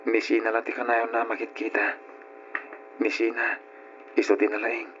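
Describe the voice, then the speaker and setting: A man's voice: fairly low pitch, completely flat delivery, moderate pace, a bit bright, lightly acted. A muffled, faintly noisy old radio recording has a man acting a young son with a northern Philippine accent. He sounds hurt and hesitant, pleading his grievance in dramatic style. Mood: hurt, resentful. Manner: aggrieved, hesitant.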